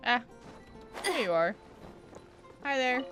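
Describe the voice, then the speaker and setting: A woman's voice: sits high, sing-song, takes it slowly, a bit bright. A woman streaming a video game with casual, playful, rambling commentary and muttered asides. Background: game music.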